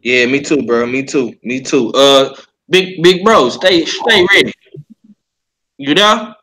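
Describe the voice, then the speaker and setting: A man's voice: medium pitch, takes it slowly, bright, forceful tone, a little hiss or hum. A man hosting a casual online call chat, laid back and steering callers, telling them to mute. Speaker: host.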